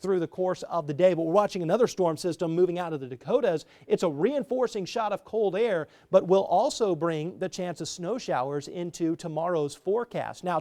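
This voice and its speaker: American guy doing tv weather, pretty high voice for a man, talking off the cuff. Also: warm tone. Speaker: TV weather forecaster. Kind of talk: ad-libbed weather report and anchor chat. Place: TV news studio.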